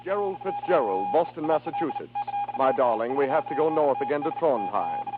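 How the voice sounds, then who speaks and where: An actor playing a telegraph operator, reading messages aloud in declamatory 1930s radio style. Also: male voice, fairly high pitch, wildly swooping pitch, fairly quick, narrow, tinny audio. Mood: reciting. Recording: thin, noisy 1930s radio recording.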